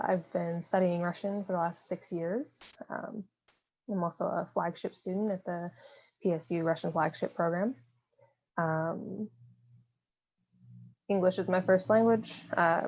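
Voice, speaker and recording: A young woman who tutors language, introducing herself in a relaxed, hesitant way with many fillers. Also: fairly low pitch, gravelly, dull audio. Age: young adult. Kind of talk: casual self-introduction on a video call.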